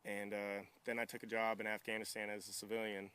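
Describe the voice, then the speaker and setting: A man's voice: on the low side, little pitch movement, fairly quick, bright, forceful tone, clean tone. A young man giving casual interview answers in a polite, modest, easygoing manner. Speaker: interview guest, military veteran and welder.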